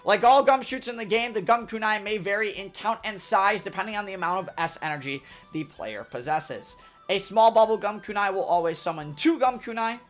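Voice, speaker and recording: A young man with a loud, energetic delivery, reading a scripted tutorial as a gaming YouTuber. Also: high-pitched, a little fast, soft background music. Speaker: gaming YouTuber giving a weapon guide. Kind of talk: scripted game tutorial narration.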